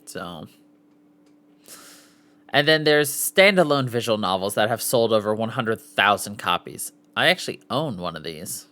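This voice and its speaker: Casual male podcast host, slow and animated. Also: lowish voice, a little hiss or hum. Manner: stumbles over foreign names, sounding them out. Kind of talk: casual live podcast, reading aloud from lists and articles.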